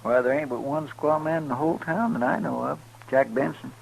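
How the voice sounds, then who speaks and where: A man's voice: mid-range voice, fairly even intonation, brisk, creaky, natural voice. An actor playing a folksy, deferential lawman's helper with a western in a radio drama. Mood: eager, respectful. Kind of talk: 1950s radio western acting. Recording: noisy 1950s radio broadcast recording.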